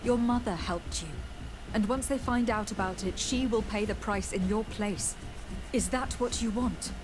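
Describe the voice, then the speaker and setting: A woman playing a composed, diplomatic young noblewoman in a video game, speaking in a measured, formal, persuasive way. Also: mid-range voice, noisy background, natural voice. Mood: firm, reasoning.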